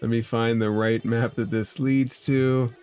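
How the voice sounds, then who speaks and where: Male game master, slow-paced relaxed narrator, wry asides. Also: mid-range voice, clean tone, faint music behind. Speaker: dungeon master.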